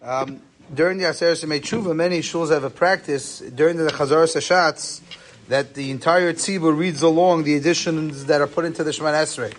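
A man's voice: on the high side, fairly even intonation, a little fast. A man gives an informal lecture on religious texts. He reasons aloud in questions and answers, dropping Hebrew terms into his sentences as he goes. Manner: question-and-answer explaining, Yeshivish phrasing with Hebrew terms mixed in. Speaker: rabbi giving a lecture.

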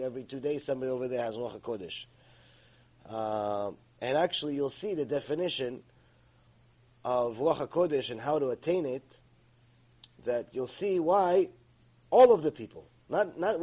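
A middle-aged man giving a Torah lecture, animated and often repeating words as he talks. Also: mid-range voice. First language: Hebrew. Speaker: Torah teacher.

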